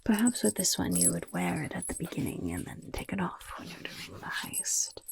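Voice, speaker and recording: A woman voicing a friendly female goblin rogue in an ASMR fantasy roleplay, with a breathy, raspy whisper and gently encouraging, genteel phrasing. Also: on the low side, wildly swooping pitch, normal speed, heavily acted. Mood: helpful, musing.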